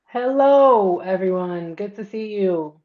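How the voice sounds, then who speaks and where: A woman moderating an online panel discussion, speaking in a measured way with frequent ums and restarts over a video call. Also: medium pitch, animated, narrow, tinny audio.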